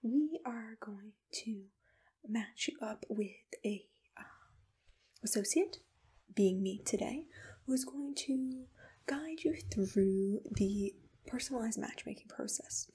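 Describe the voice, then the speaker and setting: A woman speaks in a very breathy whisper, soft and reassuring. She sounds like an attentive ASMR roleplay host, soothing and gentle, with a slightly high voice. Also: animated, slow pace.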